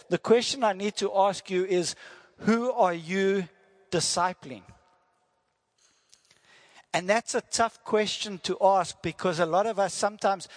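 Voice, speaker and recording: Visiting South African missionary preacher, man, friendly, jokes, quizzes the congregation. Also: sits high. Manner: friendly, jokey, asks the congregation questions. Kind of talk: sermon with prayer. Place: church hall. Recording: church hall reverb.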